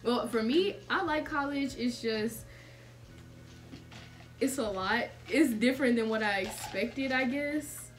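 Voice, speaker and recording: A young woman from Tennessee hosting a relaxed group chat with friends, laughing easily and using slang. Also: a little high, takes it slowly, faint music behind. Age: young adult. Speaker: host. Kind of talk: casual chat. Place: dorm room with string lights.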